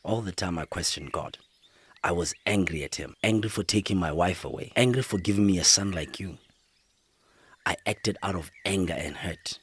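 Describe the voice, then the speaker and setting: A man's voice: sits low, moderate pace, a little hiss or hum, lightly acted. A man with a southern African accent playing a grave, remorseful widowed father in middle age, in a radio drama.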